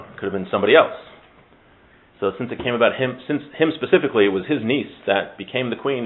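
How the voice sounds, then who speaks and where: A man's voice: on the low side, narrow, tinny audio. A man teaching an informal religious class, making his points with emphatic repetition and rhetorical questions to his students.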